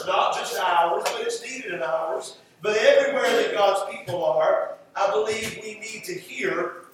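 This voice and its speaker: A high-pitched male preacher teaches in a conversational sermon style, repeating phrases for emphasis as he goes. The sound is very roomy and echoing, picked up at a distance from the microphone. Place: echoey church room. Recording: roomy echo, distant mic, faint noise.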